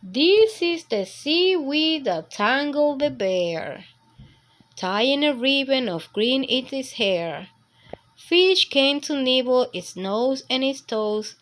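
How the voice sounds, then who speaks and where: A woman's voice: on the high side, animated, takes it slowly. A woman reading a picture book aloud as a storytime narrator for young children.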